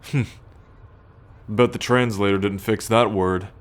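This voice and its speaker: A man playing a possessive, haughty alien soldier in an ASMR roleplay, speaking in a measured, imperious way with musing hums and sighs. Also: lowish voice, steady tone, natural voice. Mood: proud, musing. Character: possessive male alien soldier, haughty, teasing, coolly menacing captor. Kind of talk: ASMR roleplay acting, addressed to the listener.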